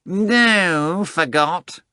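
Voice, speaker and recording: A boastful tomcat holds forth in a high, bright, drawn-out voice. He is sly and theatrical, stretching each word with mock dignity. The sound is dry and close, like a studio audiobook. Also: smooth, no room sound, heavily acted. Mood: pompous. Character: boastful talking male cat, sly and theatrical.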